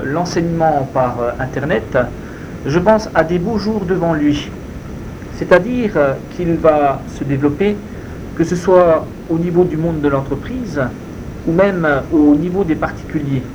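Man, native Spanish speaker, interviewee; measured and very unhurried, pausing often, with constant noise in the background. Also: fairly high pitch, animated, highs cut off. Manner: slow, deliberate, hesitant with frequent fillers. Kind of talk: answering interview questions.

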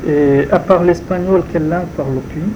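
Male interviewer, slightly high voice, informal and direct questions. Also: fairly even intonation, moderate pace. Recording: dull lo-fi sound with background noise.

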